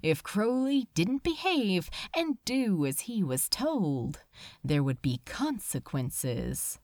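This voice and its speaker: A woman giving a dramatic, expressive and measured reading of a scripted story. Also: on the high side, dry recording, clean studio sound. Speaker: amateur audiobook reader.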